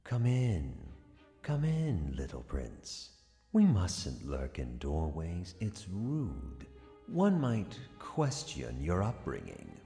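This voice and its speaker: A man's voice: sits low, wildly swooping pitch, slow, vocal fry, dark tone, husky, soft background music, exaggerated voice. A man playing a sly, dangerously alluring sea sorcerer in an audio drama, silky, theatrical and mock-sweet. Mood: mocking, luring. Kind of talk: audio drama villain acting.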